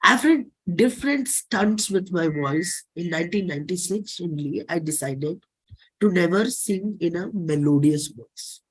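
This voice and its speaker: A performer with an Indian accent giving a dramatic reading of a personal play script, deliberate, with pauses and repeated phrases. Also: medium pitch, expressive, husky, low-quality recording. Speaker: performer and singer.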